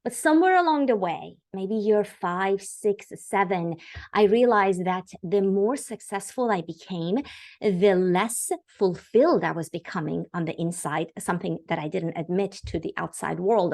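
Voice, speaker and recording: A woman's voice: mid-range voice, animated, measured pace. Enthusiastic middle-aged woman from Iran. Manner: warm, enthusiastic storytelling with fillers. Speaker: guest. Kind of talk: podcast interview.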